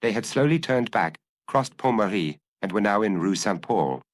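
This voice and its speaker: A man's voice: on the low side, expressive, moderate pace, dry recording. A man reading a detective story aloud as a scripted audiobook narration.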